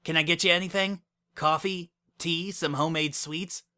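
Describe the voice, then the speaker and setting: A man's voice: on the high side, fairly even intonation, moderate pace, pushed and bright, clear, resonant tone, voice pushed up. A man voicing a flustered, anxious young female pony with a hesitant, awkward manner in a dramatic story reading.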